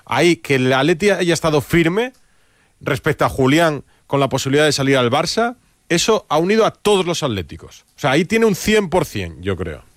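A man's voice: a little high, sing-song, fairly quick, no echo, studio quality. A man with a Spanish accent hosting a late-night radio sports show, giving firm, opinionated takes. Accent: Spanish (Spain). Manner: emphatic, opinionated, restates points.

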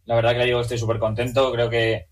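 A man's voice: mid-range voice, monotone, very fast. A young man from Madrid with a Spanish accent from Spain, answering casually as a player in a sports interview, restating his phrases. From Madrid region, Spain. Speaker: athlete, team player.

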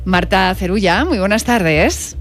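A woman hosting a radio interview, asking measured, guiding questions. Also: mid-range voice, expressive, faint music behind. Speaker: radio host.

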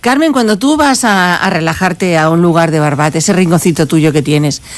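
A woman's voice: fairly low pitch, normal speed, no room sound. A woman with a Spanish accent from Spain hosts a radio talk segment. She interviews in a casual, conversational style, putting questions to her guests. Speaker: radio host.

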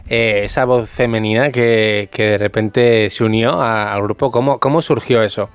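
A man's voice: on the low side, steady tone, moderate pace, smooth, no room sound. A man asking an interview question hesitantly, repeating himself as he goes.

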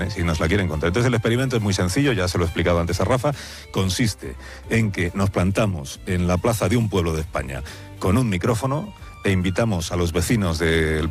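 Male radio host, very low pitch, conversational and playful, outdoors. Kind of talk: on-location radio interview. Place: village square outdoors.

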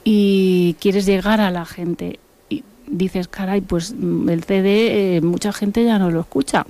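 A woman from Buenos Aires, a musician and author, talking in a relaxed interview and circling back with repeated words as she thinks. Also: mid-range voice, takes it slowly. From Argentina (Buenos Aires).

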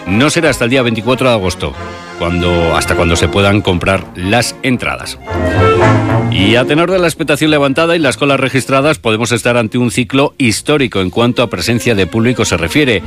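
A man's voice: medium pitch, expressive, a little fast, over music. A man with a Castilian Spanish accent presenting scripted local news on the radio.

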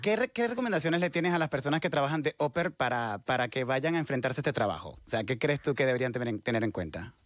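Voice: medium pitch, animated, fast, few pauses, dry recording, studio quality. A co-host who asks questions and reacts with many agreeing interjections. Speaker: radio show co-host. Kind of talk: casual interview chat.

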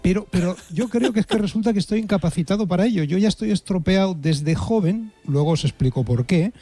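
A middle-aged or older man from a Spanish radio talk show, with a Spain accent. His voice is mellow and relaxed, slightly high for a man. He rambles wryly through his remarks, doubling back on words. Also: brisk. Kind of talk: humorous conversational monologue.

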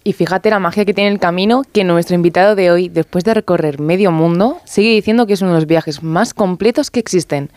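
A woman's voice: on the low side, fairly quick, hardly any pauses, darkish tone, no echo, clean studio sound. A woman intern reporter on a radio show, speaking with an Almería accent in a bubbly way. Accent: Andalusian, Almería. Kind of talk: radio chat.

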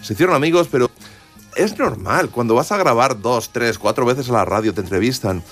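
A man's voice: mid-range voice, lively intonation, a little fast, a bit bright. A man with an accent from Spain chatting informally as a radio music presenter, telling stories in a digressive, jokey, enthusiastic way. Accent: Spanish from Spain. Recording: music behind the voice.

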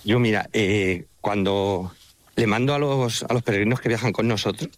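A man's voice: on the low side, fairly even intonation, moderate pace. A man with a La Mancha Spanish accent, calling in while walking outdoors beside an estuary, answering in a hesitant way with many fillers and restarts. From Spain. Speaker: guest, pilgrimage travel agent. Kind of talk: casual interview answers.

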